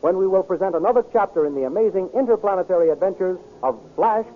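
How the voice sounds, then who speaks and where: A man announcing in 1930s radio style, brisk and enthusiastic. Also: sits high, faint music behind. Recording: tinny 1930s radio transcription with hiss.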